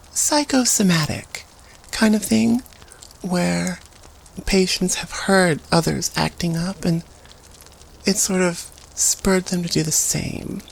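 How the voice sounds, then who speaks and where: A woman playing a student who tells an eerie campfire story in a halting, conversational way, in an audio roleplay. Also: medium pitch, creaky, breathy edge, heavily acted, poor audio. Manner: halting, conversational, thoughtful phrasing.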